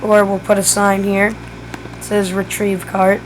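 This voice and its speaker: A woman's voice: medium pitch, completely flat delivery, unhurried, slight background noise, low-quality recording. A woman who is losing her voice gives a casual step-by-step game tutorial, thinking aloud as she builds. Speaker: gaming tutorial creator.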